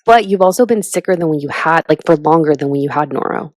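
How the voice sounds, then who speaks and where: A woman in her thirties co-hosting a podcast in casual chat, animated and chatty, with lots of "like" and "literally". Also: low voice, quick pace, no room sound. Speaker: podcast co-host.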